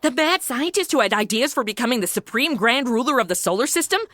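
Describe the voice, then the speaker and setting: A wisecracking, sarcastic young woman, played by an actress. Her voice is high and bright, with a lively lilt, recorded dry and close. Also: fast talker, no room sound. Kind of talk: comedy audio drama acting.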